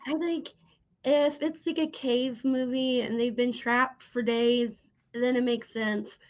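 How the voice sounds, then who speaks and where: A woman's voice: a little high, steady tone, slow. Dull-sounding recording, woman interviewer. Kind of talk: casual chat. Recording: slightly dull lo-fi audio.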